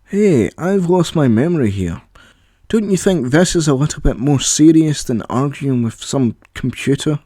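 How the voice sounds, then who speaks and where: A man's voice: fairly high pitch, expressive, normal speed, dark tone, no room sound, clean studio sound. Man playing a sceptical boy with amnesia. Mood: put out. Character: young boy with lost memory, sceptical and bewildered.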